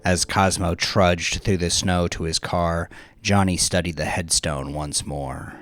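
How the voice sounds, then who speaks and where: Deep, measured male audiobook narrator. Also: fairly even intonation, fairly bright tone, no room sound. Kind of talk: scripted dramatic fiction narration. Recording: prominent music behind the narration.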